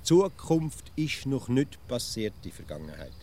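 A man's voice: medium pitch, big pitch swings, unhurried, a little mellow, a little hiss or hum. An actor playing a stubborn, grumbling provincial Swiss amateur philosopher in a comic radio play, with a Swiss accent in his German. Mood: insistent. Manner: pedantic, grumbling, emphatic.

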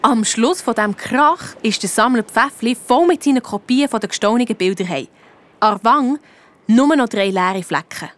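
A young girl detective voiced by a woman tells her own adventure in a confiding way. The voice is fairly high and very dry, as storytelling in a children's radio play. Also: expressive, normal speed, no room sound, close to an everyday voice. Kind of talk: first-person radio play narration.

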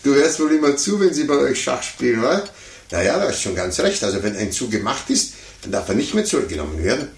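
An actor playing a fussy, condescending older gentleman in a 1950s radio play, muttering to himself and talking down patronizingly. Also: mid-range voice, very animated, quick pace, creaky. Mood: flustered, pompous. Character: condescending, fussy, self-important older gentleman chess amateur. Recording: roomy, noisy 1950s radio recording.

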